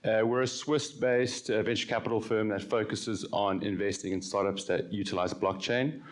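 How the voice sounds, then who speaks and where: A man speaking as a venture capital managing director on a conference panel, relaxed and conversational with frequent ums. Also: lowish voice, moderate pace. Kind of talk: panel discussion.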